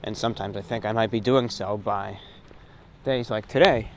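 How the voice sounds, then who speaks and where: A man's voice: lowish voice, steady tone, normal speed. A man hosting his own show, talking in a casual, reflective, rambling monologue of short phrases, recorded outdoors on a walk. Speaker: host of a radio and online show. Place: outdoors, recorded while walking. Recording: clear ambient noise behind the voice.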